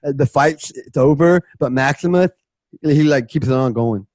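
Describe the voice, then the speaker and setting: A man's voice: medium pitch, normal speed, a little mellow, no room sound. A man narrating a radio play script in a loose, comic way, dropping wry, casual asides.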